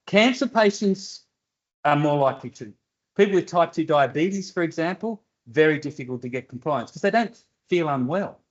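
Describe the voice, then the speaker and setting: A man's voice: a little high, dull audio. An older man with an Australian accent, answering audience questions at a seminar in a direct, plainspoken way with dry humour. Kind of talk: seminar Q&A explanation. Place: university seminar room.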